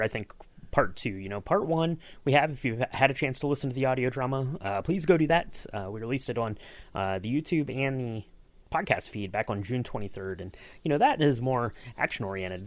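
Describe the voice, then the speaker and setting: A man's voice: lowish voice, no room sound. A man hosting a podcast and interviewing his co-host, speaking in an upbeat way with frequent fillers.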